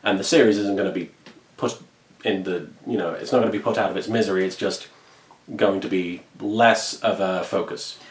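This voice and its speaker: A man's voice: deep voice, steady tone, moderate pace. A man speaking earnestly and conversationally, giving a casual update to supporters as a web video creator. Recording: echoey room.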